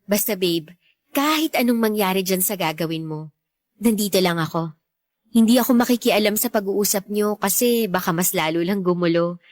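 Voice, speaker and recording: A young woman with a Filipino accent acting a caring, supportive fiancée in a radio drama, gentle and coaxing. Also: mid-range voice, moderate pace, dry recording, studio quality, lightly acted. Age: young adult.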